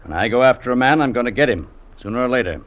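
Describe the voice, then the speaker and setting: An actor playing a stern, unyielding Mountie sergeant, speaking curtly in 1950s radio drama style. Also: male voice, medium pitch, lively intonation, fast, natural voice. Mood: grim resolve. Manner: curt, stern, blunt. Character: hard, unyielding Mountie sergeant, adult man. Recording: dull, noisy old radio recording.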